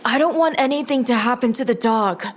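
A woman's voice: a little high, a little fast, lightly acted. A woman playing an apologetic, evasive twelve-year-old boy with a meek manner in an audio drama.

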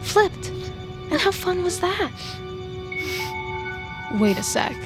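A woman's voice: extremely high pitch, sing-song, slow pace, over music, full character voice. An actress playing a manipulative, obsessive young woman in an audio drama, with a saccharine, gleefully controlling manner. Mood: cooing, possessive. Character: manipulative, obsessive young woman, sweetly menacing former best friend.

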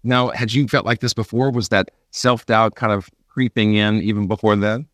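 A man's voice: lowish voice, fairly even intonation, moderate pace, a bit bright, no room sound, studio quality. A man interviewing a guest as a podcast host, chatty and sympathetic. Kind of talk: conversational interview.

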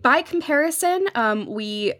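A woman's voice: sits high, lively intonation, measured pace, fairly bright tone, no echo. Young woman, enthusiastic, dry close sound. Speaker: podcast guest, journalist covering fiction podcasts. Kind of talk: conversational interview commentary.

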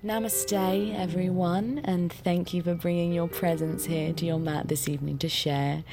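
A woman's voice: on the low side, fairly even intonation, normal speed, music in the background, natural voice. An actress playing an eager, perfectionist female estate agent and yoga teacher, earnest and performatively gracious, in an audio drama. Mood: serenely lofty.

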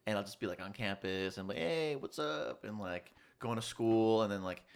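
A man's voice: lowish voice, moderate pace, gravelly. A man of thirty-five chatting casually and telling stories in slangy, sweary speech, acting out bits of dialogue as he goes. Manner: animated storytelling with acted-out quotes, slangy with swearing. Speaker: college student in a business fraternity. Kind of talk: casual chat, storytelling.

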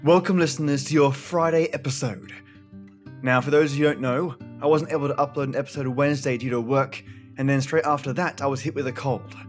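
A man hosting a podcast, reading a scripted introduction in a measured, earnest and formal way. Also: mid-range voice, fairly even intonation. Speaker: podcast host. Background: plucked guitar music.

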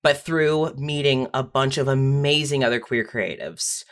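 Candid, casual medium-pitched podcast guest. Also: unhurried. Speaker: podcast guest, audio fiction writer.

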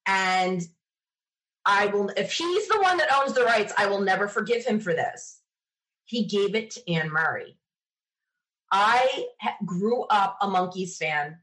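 A woman's voice: medium pitch, lively intonation, measured pace, a bit bright, poor audio. Chatty, opinionated middle-aged woman. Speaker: podcast guest being interviewed. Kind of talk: casual conversational storytelling.

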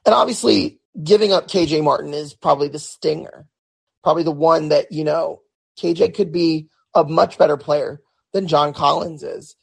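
A man hosting a solo sports podcast, talking through his thoughts in a casual way with many restarts, his voice hoarse. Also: fairly high pitch, normal speed. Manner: conversational, repeats words and restarts often. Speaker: podcast host.

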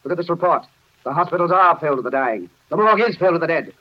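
Actor as stern, demanding mayor, fast and high-pitched. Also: male voice, expressive, lightly acted. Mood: angry, demanding. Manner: forceful, accusing, clipped. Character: stern, demanding city mayor under pressure. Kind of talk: 1930s radio drama acting. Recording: muffled vintage 1930s radio recording.

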